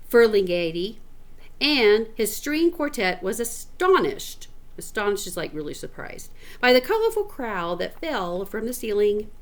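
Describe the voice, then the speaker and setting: A middle-aged woman, a teacher, reading a picture book to children with chatty asides. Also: mid-range voice. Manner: animated, chatty, explains words to children.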